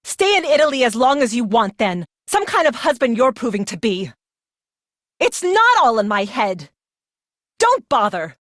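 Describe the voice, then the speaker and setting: A woman's voice: a little high, big pitch swings, moderate pace, fairly bright tone, no room sound, clean studio sound. A woman playing a bitter, aggrieved wife in dramatic video game dialogue. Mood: bitter, upset. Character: bitter, aggrieved wife arguing with her husband.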